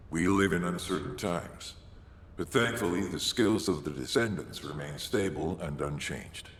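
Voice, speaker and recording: An actor playing a grave, formal commander in a video game, speaking with solemn deliberation. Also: male voice, low-pitched, fairly bright tone. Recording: echoing room, faint background noise.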